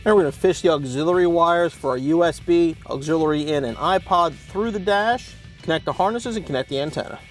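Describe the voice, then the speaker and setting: Higher-voiced man giving how-to instructions over music. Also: a little fast, hardly any pauses. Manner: plain-spoken, step-by-step explaining. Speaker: host of car installation how-to videos. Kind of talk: instructional product demonstration.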